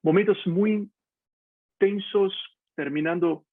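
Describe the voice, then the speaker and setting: A man's voice: high voice, slow, dry recording. A man from Brazil, a pastor, speaking candidly and directly to his listeners in a personal devotional talk. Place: home, video call. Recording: tinny phone-like online call audio.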